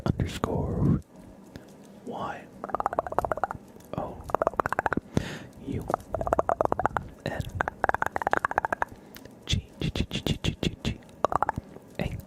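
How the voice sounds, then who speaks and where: A man hosting an ASMR livestream in a soft, gentle, encouraging voice that often slips into whispering. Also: very slow, pauses often. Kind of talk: ASMR, soft-spoken live stream with whispering.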